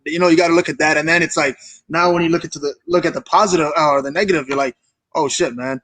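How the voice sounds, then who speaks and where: Higher-pitched young man, earnest and informal. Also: steady tone, quick pace, fairly bright tone, no room sound. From Kenya. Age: young adult. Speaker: interview guest, wrestler. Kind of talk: casual chat.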